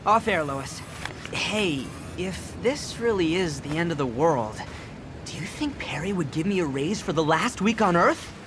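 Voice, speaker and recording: A man's voice: on the high side, lively intonation, a little slow, noisy background. An actor playing an eager young man in a video game. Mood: eager, hopeful. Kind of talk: video game cutscene acting.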